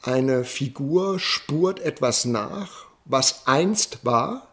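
A man's voice: medium pitch, animated, drawn-out words, a bit bright. A man gives reflective interview commentary in a thoughtful way, repeating points for emphasis.

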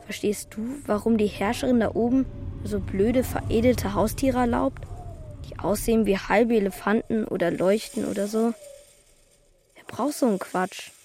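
An actress playing a youthful, informal, slightly grumbling child in a German children's radio drama. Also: female voice, medium pitch, normal speed, warm tone, lightly acted. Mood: sulky, sad. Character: child about to emigrate to space, attached to dog.

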